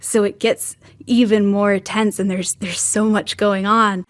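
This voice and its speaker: A woman's voice: medium pitch, little pitch movement, a little slow. A woman in her twenties, an actress giving an enthusiastic, casual interview. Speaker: stage actress.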